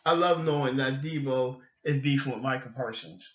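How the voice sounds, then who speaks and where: A middle-aged man hosting a sports podcast solo, giving opinions emphatically and haltingly, repeating phrases as he goes. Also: mid-range voice, lo-fi sound.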